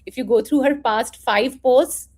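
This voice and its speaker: Woman host, fairly high voice, enthusiastic, effusive intro, video call. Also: measured pace, a little mellow. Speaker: host of a live interview stream. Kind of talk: interview hosting.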